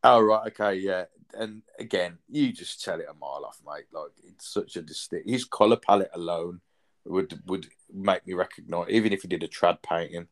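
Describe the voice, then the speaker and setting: Brief: thirties male host, lowish voice, loose rambling chat, swears easily. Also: normal speed. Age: 30s. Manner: rambling, swears casually, many fillers. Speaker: podcast host. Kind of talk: casual chat.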